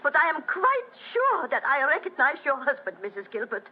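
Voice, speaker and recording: Shaken widow, high and animated. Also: female voice, a little fast. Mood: shaken, grave. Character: anxious newly widowed German woman, shaken. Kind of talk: old radio drama acting. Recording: lo-fi old radio recording, faint noise.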